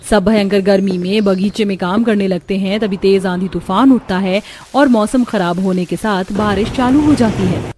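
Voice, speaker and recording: A woman's voice: medium pitch, moderate pace, a little mellow, slight background noise. A woman narrating a scripted cartoon story as its storyteller.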